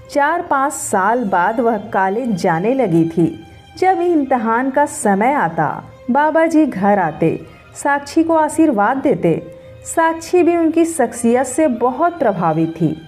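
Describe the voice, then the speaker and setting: Slow, high-pitched woman storyteller. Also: darkish tone. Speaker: story narrator. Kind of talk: scripted Hindi story narration. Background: background music.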